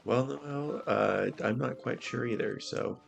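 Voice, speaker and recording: Raspy, slow-talking gameplay host man. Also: medium pitch, faint music behind. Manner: laid-back, with frequent fillers and throat clearing. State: hoarse from illness. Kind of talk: casual let's-play commentary.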